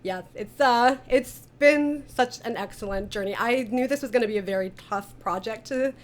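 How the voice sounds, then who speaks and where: A woman's voice: a little high, moderate pace. A woman hosting an interview in a casual, chatty way. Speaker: interview host and audio drama creator. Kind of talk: casual online cast interview.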